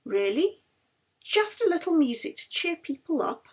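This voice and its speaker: A woman narrator plays a storybook violinist, a woman pitched high above the narration. She is kindly, cheerful and quietly determined. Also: very animated, normal speed. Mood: calmly defiant.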